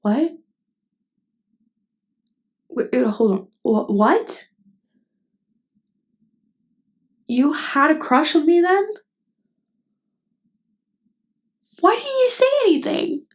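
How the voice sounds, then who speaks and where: A woman acting a nervous, tender best friend with hidden love in an intimate ASMR roleplay, speaking hesitantly and haltingly. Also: high-pitched, very animated, exaggerated voice. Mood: startled, agitated. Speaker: voice actress.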